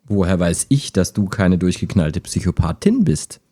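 A mellow, low-pitched male chatbot, acted by a man, wistful and skeptical. He talks like an ordinary human in an intimate radio play, understated and a little hesitant. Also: sing-song, normal speed, breathy edge, exaggerated voice. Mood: tentative, wry.

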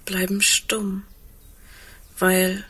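A woman playing a resigned, composed but fearful woman in an intimate audio drama, halting and often dropping into a hush. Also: mid-range voice, monotone, breathy edge, full character voice. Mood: stunned, hushed.

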